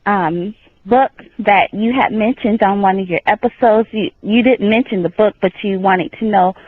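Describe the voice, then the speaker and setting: A soft-spoken woman with a light voice leaving a voicemail, praising the hosts with a few halting fillers. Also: medium pitch, no room sound. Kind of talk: voicemail message. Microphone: phone voicemail. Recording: dull, dry voicemail line.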